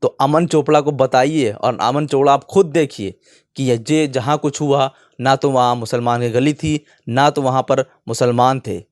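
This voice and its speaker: A man's voice: medium pitch, fairly even intonation, moderate pace, dry recording, clean studio sound. A man presenting opinionated online news commentary in an indignant manner, often posing rhetorical questions.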